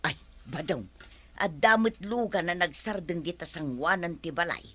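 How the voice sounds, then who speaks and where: A woman's voice: fairly low pitch, moderate pace, natural voice. A woman playing a worried village wife in an Ilocano comedy radio drama. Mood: weary. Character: worried village wife urging on house repairs.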